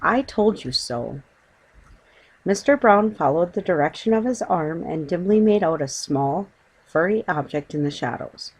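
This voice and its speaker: A woman's voice: lowish voice, expressive, normal speed, darkish tone, husky, low-quality recording. A woman reading a children's story aloud as scripted audiobook narration.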